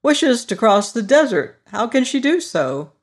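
A reader voicing a contented, cheerful scarecrow who rules a city, speaking in a bright, declaiming manner. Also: medium pitch, big pitch swings, a little slow, voice pushed up. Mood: thoughtful.

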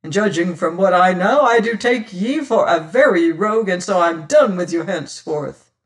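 A man's voice: high-pitched, lively intonation, moderate pace. A man reading a story as a bitter, hot-tempered adventurer who accuses and defies in archaic speech. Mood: stern accusing. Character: indignant, hot-tempered, proud male adventurer, bitter and distrustful. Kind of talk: dramatised audiobook reading.

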